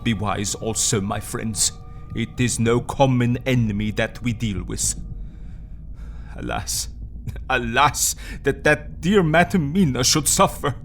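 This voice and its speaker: An actor playing a grave older Dutch professor and vampire hunter, speaking in an emphatic, formal, commanding way in an audio drama. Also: male voice, mid-range voice, lively intonation, takes it slowly, creaky, soft background music. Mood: solemn, anguished.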